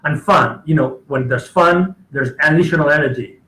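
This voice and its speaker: A man's voice: fairly high pitch, normal speed, a bit bright. Enthusiastic male coach, emphatic and repetitive, echoey room. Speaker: guest coach. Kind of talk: casual conversation.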